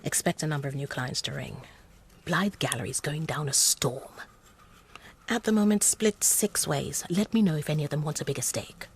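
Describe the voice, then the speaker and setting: A woman's voice: sits low, moderate pace, gravelly, a little hiss or hum. An actress playing a self-assured British female con artist in a radio drama, poised, persuasive and businesslike. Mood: brisk, impatient. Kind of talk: naturalistic radio drama acting.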